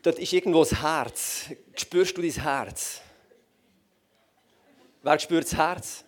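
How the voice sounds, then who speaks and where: Lively Swiss German pastor. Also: male voice, fairly high pitch, slow. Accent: Swiss German, Basel. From Basel region, Switzerland. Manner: lively, joking, loud with many fillers. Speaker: guest pastor. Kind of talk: church stage talk. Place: large church hall on stage.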